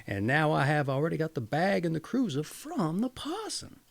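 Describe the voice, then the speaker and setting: A man voicing a gullible, eager peasant husband in a storybook, earnest, excited and long-winded. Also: on the high side, moderate pace, creaky.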